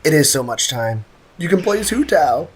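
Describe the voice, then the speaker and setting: A young man streaming a video game, giving excitable, joking commentary and answering his chat. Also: on the high side, moderate pace, slight background noise, low-quality recording. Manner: excitable, joking, trash-talking. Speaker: gaming streamer. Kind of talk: live game commentary, chatting with viewers.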